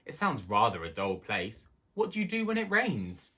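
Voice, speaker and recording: A man's voice: on the low side, wildly swooping pitch, moderate pace, sharp tone, heavily acted. A man voicing a well-bred, fussy male town mouse in a storybook, formal and condescending. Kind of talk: storybook character voice.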